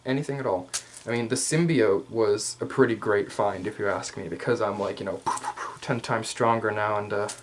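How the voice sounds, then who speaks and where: A man's voice: fairly low pitch, natural voice. Man playing a chatty hyena commander, noisy recording. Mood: casual, boastful. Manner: casual, rambling, with slangy asides and hesitant pauses. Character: male hyena furry commander, chatty, playfully cocky raider. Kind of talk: improvised ASMR roleplay to the listener. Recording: clear background noise.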